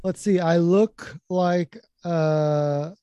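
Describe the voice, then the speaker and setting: A man with a relaxing, soothing voice, chatting as a podcast guest with dry, deadpan humor. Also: on the high side, drawn-out words, clear, resonant tone, no room sound. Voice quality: soft, soothing, calm voice. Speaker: guest comedian. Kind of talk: casual podcast chat.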